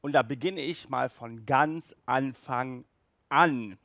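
Slow-paced man hosting a collector show. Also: medium pitch, creaky, bright, forceful tone, no room sound. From Germany. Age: 40s. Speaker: collector magazine show host. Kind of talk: casual enthusiastic presenting to camera. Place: small indoor room with toy displays. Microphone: handheld microphone, later headset.

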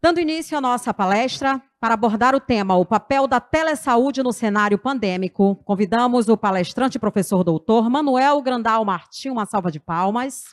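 A woman's voice: a little high, lively intonation, moderate pace, hardly any pauses, no room sound. A young woman with a Brazilian Portuguese accent, formally reading a speaker's introduction from notes at a lectern mic in a university auditorium. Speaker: master of ceremonies. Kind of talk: formal introduction read aloud. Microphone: handheld mic at lectern.

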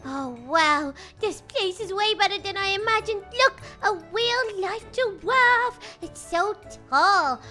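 A woman gamer plays an excitable little kid and gushes over everything she finds. Her voice is high and bright. She talks in a giddy, running commentary as she looks around. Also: slow pace, few pauses, faint music behind. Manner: excitable, gushing, narrates what she sees. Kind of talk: roleplaying a little kid in a game.

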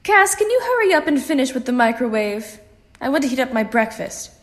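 A woman's voice: high voice, very animated, brisk. A woman playing a bossy, sardonic character who gives commands theatrically in an audio drama. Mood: breezy, sardonic. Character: bossy, sardonic woman ordering a pet Dalek around.

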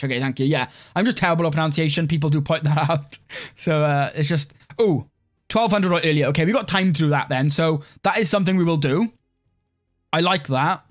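A young man talks through a live strategy-game stream in a relaxed, rambling way, answering chat as he plays. His voice sits a little high for a man. Soft music plays faintly behind him. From Wales. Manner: relaxed, rambling, heavy use of "like". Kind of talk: live-stream gameplay commentary, reading chat.

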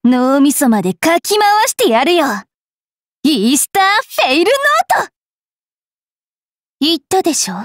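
A woman voicing a bratty, cruel young fairy witch in an anime game, haughty and taunting with a rough tongue. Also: high voice, sing-song, unhurried, pauses often, no echo, studio quality. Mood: gleeful menace. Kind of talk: Japanese anime game character voice lines.

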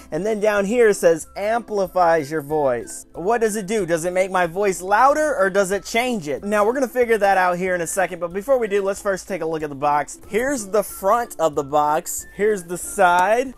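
A man in his twenties with a noticeably high voice presents a toy review to camera. He talks casually and enthusiastically, like a chatty online show host, with soft music underneath. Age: 20s. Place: small room at home.

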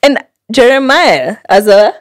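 A woman in her thirties with a Kenyan accent, talking as a podcast guest in an emphatic, reflective way, pausing to search for words. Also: fairly high pitch, very animated. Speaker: podcast guest, public theologian. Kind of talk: casual podcast conversation. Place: small indoor podcast studio. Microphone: close boom-arm studio microphone.

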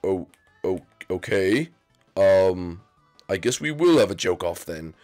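A man's voice: deep voice, expressive, takes it slowly, darkish tone, soft background music, voice pushed down. A man voicing a timid, bewildered young captive who stammers nervously.

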